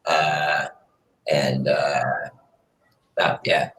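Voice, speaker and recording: A man with a very low voice talks in a relaxed, rambling way, full of fillers. He is a singer being interviewed. The sound bounces around a roomy space. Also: drawn-out words. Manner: laid-back, rambling with fillers. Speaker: singer-songwriter guest. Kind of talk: casual interview chat. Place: room in a city apartment, street noise outside. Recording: roomy echo.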